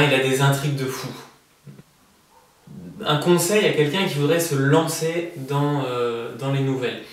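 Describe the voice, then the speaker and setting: A man in his thirties, an author from France, answering interview questions in a relaxed, hesitant way with many fillers and restarts. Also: medium pitch. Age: 30s. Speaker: prize-winning author interviewed. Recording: echoey room, voice from far off the mic.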